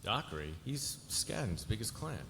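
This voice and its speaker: Suave lawyer, very noisy echoey hall. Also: male voice, fairly low pitch, very animated, normal speed, vocal fry. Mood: cool confidence. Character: smooth, supremely confident male big-city lawyer and charmer. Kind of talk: live table read of a TV drama script. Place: large hall, live festival stage.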